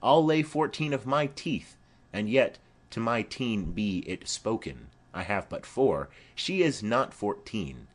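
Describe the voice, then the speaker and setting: A man's voice: fairly low pitch, expressive, takes it slowly. A man voicing a garrulous, fond old female nurse in a Shakespeare play, rambling and exclamatory. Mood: chatty, emphatic. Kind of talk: amateur-style Shakespeare play reading.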